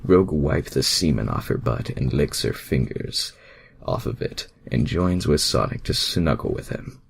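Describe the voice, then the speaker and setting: A man's voice: very deep voice, lively intonation, measured pace, vocal fry, a little hiss or hum. A man reading fan fiction aloud as a casual amateur narrator, stumbling over and misreading words.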